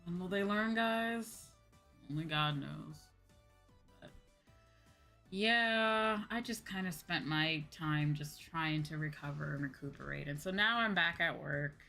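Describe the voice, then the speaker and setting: A woman hosts a casual chat stream in a fairly low but clearly bright voice. She talks candidly and informally, repeating points for emphasis. Music plays behind her. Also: a little slow. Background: background music.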